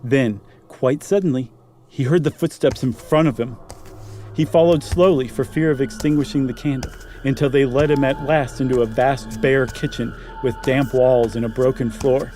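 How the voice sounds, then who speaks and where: A man's voice: mid-range voice, fairly even intonation, normal speed, mellow, a little hiss or hum. A man co-hosting and reading a horror story dramatically, with casual commentary. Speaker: podcast host and story reader. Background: music.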